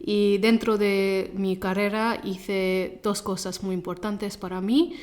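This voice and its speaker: A woman's voice: mid-range voice, level tone, a little mellow. Female interview guest, Gujarati mother tongue; conversational, feels her way through sentences and corrects herself. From Leicester, England. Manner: halting, self-correcting second-language speech. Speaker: interview guest, Spanish teacher.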